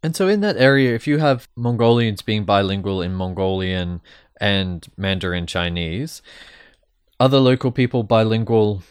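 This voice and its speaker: A man hosting a podcast, giving formal scripted introductions and asking clear questions. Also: fairly low pitch, normal speed, dry recording, clean studio sound. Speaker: podcast host. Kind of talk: podcast interview.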